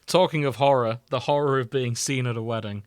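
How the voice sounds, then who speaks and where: A man's voice: medium pitch, fairly quick, clean tone, no echo, clean studio sound. A man hosting a podcast in casual, teasing banter, with some swearing. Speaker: podcast host.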